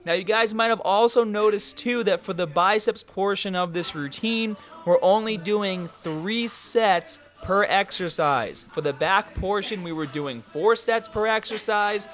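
A young man giving workout instructions as a fitness coach, energetic and coaching in tone. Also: high-pitched, measured pace, hardly any pauses. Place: large gym, indoors.

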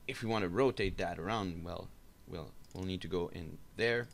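A man giving an unscripted software screencast tutorial, explaining step by step in a halting, hesitant way. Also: sits low, creaky. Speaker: tutorial instructor. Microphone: voice-over for a screen recording.

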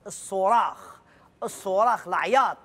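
A man's voice: sits high, lively intonation, moderate pace, a bit bright, slight background noise. A middle-aged man with an Algerian accent, hosting a TV game show on a big studio stage and teasing the contestants.

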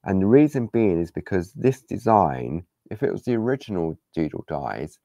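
A man hosting a live craft-along, chatting casually and rambling as he works, with a hoarse voice after days of talking. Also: low voice, lively intonation, normal speed, mellow, no room sound, studio quality. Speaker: craft demonstrator. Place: craft studio table. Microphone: overhead camera on hands, speaker unseen.

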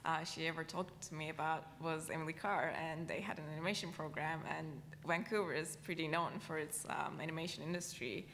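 A young woman from Turkey answers calmly on a student panel. Her voice is very low and creaky, with hall reverb and a faint rumble behind it. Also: a little fast, hardly any pauses. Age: young adult.

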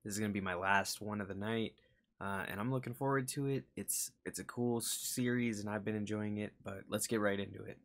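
A man's voice: fairly low pitch, fairly even intonation, fairly quick, creaky, fairly bright tone. A man in his thirties hosting a reaction video, talking casually at his computer. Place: small bedroom or home room, indoors.

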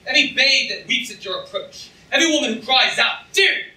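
A young man playing a vengeful, taunting hero in a theatrical staged reading, speaking in a deliberate, declamatory way. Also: very high voice, animated, bright, forceful tone, exaggerated voice. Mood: taunting, defiant. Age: young adult. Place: lecture-room stage with audience, filmed from seats. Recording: echoey distant hall recording with noise.

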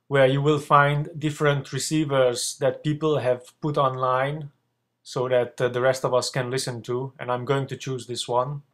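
A man talking through an unscripted software screencast tutorial in a hesitant, halting way, as a video blogger would. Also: medium pitch. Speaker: ham radio video blogger demonstrating software. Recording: clear background noise with hum.

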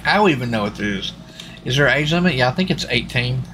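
Bright-voiced man chatting on a stream. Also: mid-range voice, lively intonation, fast talker, a little hiss or hum. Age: adult with young children. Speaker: live streamer. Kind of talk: casual live stream chat while gaming.

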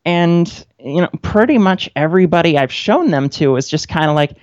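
A woman's voice: fairly low pitch, expressive, moderate pace, a little mellow, dry recording. A woman who is a guest on a radio interview, chatting casually with a wry manner. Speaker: guest, independent author and grad student. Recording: dull, lo-fi line.

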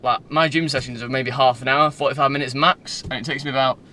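Bright-voiced young Northern English man chatting. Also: mid-range voice, steady tone, fast. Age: 20s. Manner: informal, loose, digressive. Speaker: vlogger. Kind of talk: casual rambling vlog chat. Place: inside a parked car. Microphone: phone at arm's length. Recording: faint car-interior background noise.

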